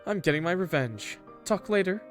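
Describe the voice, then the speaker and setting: A man's voice: sits high, lively intonation, normal speed, voice pushed up. A man acts out a sour, teasing visual novel character who scolds a prankster with theatrical flair. The voice sits raised and close, with faint music behind it. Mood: determined. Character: teasing, sour bar-goer trading barbs with a prankster.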